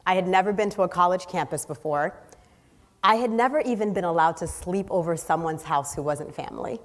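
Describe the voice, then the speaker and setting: A woman's voice: on the low side, a little fast. Haitian-born woman speaking in a hall. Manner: earnest, storytelling with emphatic lists. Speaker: guest speaker. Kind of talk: inspirational keynote speech. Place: large hall on stage. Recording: long hall reverb.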